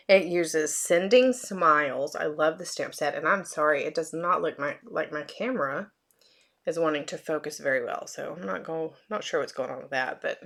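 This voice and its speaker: A woman with a hoarse, fading voice, chatting casually with frequent fillers as she demonstrates card-making at her crafting desk. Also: fairly low pitch, fairly bright tone, a little hiss or hum.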